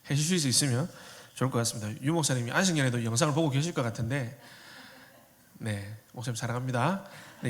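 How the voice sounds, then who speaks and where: A man's voice: medium pitch, a bit bright. Guy pastor w a bit of korean dialect, chatty jokey sermon, echoey church hall. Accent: slight Korean regional dialect. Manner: joking, conversational preaching. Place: church sanctuary. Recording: long hall reverb.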